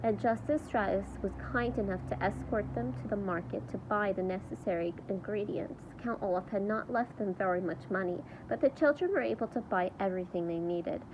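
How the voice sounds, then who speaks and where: A woman reading a children's book aloud in an expressive storytelling style. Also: mid-range voice, a little fast, hardly any pauses, highs cut off, noisy background. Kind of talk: read-aloud storybook narration with character voices.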